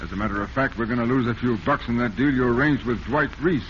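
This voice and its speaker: An actor playing a scheming, self-assured theatrical producer with clipped, hard-boiled delivery in 1940s radio style. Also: male voice, mid-range voice, quick pace, natural voice. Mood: brisk confident. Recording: muffled, faintly noisy vintage radio recording.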